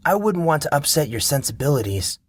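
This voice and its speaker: A man's voice: on the high side, big pitch swings, brisk, husky, no echo, clean studio sound, pitched above the performer's own voice. A man voicing a cocky, mocking young man. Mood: needling. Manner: mocking, needling.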